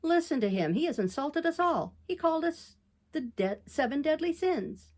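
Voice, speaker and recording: A woman's voice: sits high, very animated, normal speed, pitched above the performer's own voice. A woman doing a threatening schoolboy bully. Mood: taunting. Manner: taunting and raised.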